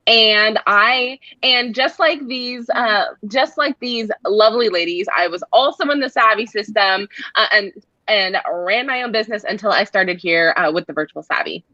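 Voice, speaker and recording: A bright, forceful woman from California hosts a casual panel over a video call and gushes over her guests. The sound is dull and lo-fi, and very dry. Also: mid-range voice, normal speed, dry recording. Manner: enthusiastic, gushing. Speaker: program director hosting a panel.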